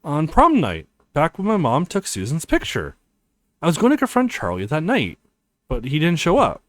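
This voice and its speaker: A man's voice: on the high side, wildly swooping pitch, moderate pace, no room sound. A man voicing an earnest, worried young male college student in a visual novel. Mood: earnest, sorry.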